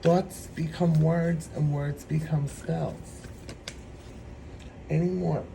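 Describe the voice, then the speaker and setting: A man with a hoarse, troubled throat giving a meandering, reflective spiritual tarot reading. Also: on the high side, little pitch movement, warm tone, slight background noise, poor audio. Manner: meandering, reflective, slow-spoken. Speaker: tarot reader.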